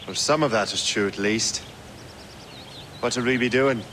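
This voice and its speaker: A man plays a young assassin in a video game, cocky and easygoing. His voice sits at an average pitch and he talks with wry, flippant ease. There is faint noise behind him. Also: normal speed, close to an everyday voice. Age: young adult. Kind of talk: video game cutscene acting.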